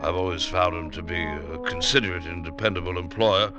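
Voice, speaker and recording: An actor playing a deferential middle-aged chief clerk, formal, precise and stuffy, in old-time radio drama style. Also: male voice, deep voice, fairly even intonation, a little fast, music in the background, close to an everyday voice. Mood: dutiful.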